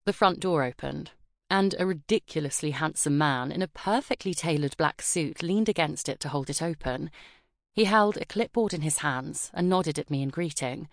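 A woman narrates a first-person novel in a measured, expressive reading voice. Her pitch sits low for a woman, and the recording is close and completely dry, as from a studio booth. The delivery is polished and steady. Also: no echo. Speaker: audiobook narrator. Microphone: close studio mic.

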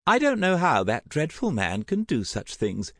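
A soft, genteel older mother, tender and sorrowful, as a male narrator plays her in an audiobook. The pitch is raised well up and rises and falls wistfully, recorded very dry. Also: huge pitch swings, moderate pace, no room sound, heavily acted. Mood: tearful sorrow. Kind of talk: audiobook character acting.

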